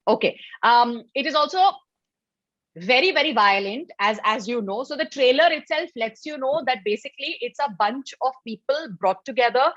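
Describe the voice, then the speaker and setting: A woman with an Indian English accent co-hosts a casual review podcast, talking in a lively, opinionated way. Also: high voice, normal speed, dry recording. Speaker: podcast co-host. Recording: dull, dry lo-fi audio.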